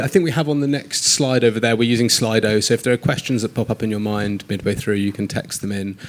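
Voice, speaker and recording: Brisk low-voiced panel moderator. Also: male voice, a little hiss or hum. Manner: brisk and hospitable, stumbles over repeated words. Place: event room with an audience.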